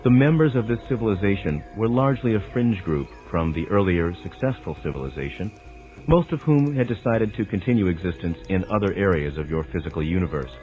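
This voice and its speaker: A man delivering a dramatized scripted narration of a spiritual text in a measured, formal, lecturing manner. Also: low-pitched, expressive, a little mellow. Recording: dull lo-fi sound with music underneath. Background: background music.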